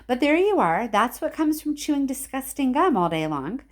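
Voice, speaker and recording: Storybook role, female reader: eccentric gentleman candy maker, brisk, emphatic, dismissive, with high excited swoops. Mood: exclaiming, impatient. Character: eccentric, brisk, exclamatory male candy-factory owner.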